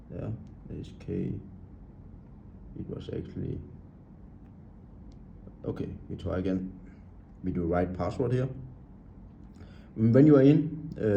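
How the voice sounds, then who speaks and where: A man giving an unscripted walkthrough of an app, halting and talkative, pointing out items on the screen in English with non-native grammar. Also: sits low, creaky, highs cut off, background noise. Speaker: app tutorial presenter.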